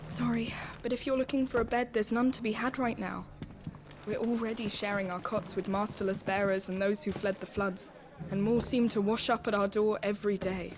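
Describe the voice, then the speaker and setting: A woman's voice: mid-range voice, steady tone, moderate pace, noisy background, close to an everyday voice. An actress playing an overburdened, apologetic woman at a crowded inn in a fantasy game. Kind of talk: scripted fantasy game dialogue.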